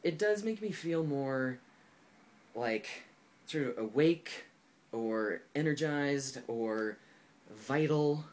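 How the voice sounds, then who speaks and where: A man's voice: medium pitch, slight background noise. Candid young man rambling through a vlog. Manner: thoughtful and candid, heavy use of "like" and "um". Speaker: video blogger. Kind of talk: casual personal vlog talk.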